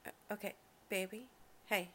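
A woman playing an affectionate but dominant partner in an intimate audio roleplay, with a creaky voice and a deliberate, controlled delivery. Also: medium pitch, steady tone, sharp tone, a little hiss or hum. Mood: casual, affectionate. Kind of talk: intimate solo audio roleplay addressed to the listener.

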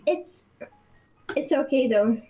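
A woman from Brazil chatting as a guest on a stream, unhurried and a bit hesitant, poking fun at herself. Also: high voice, lo-fi sound, background noise. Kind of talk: casual chat.

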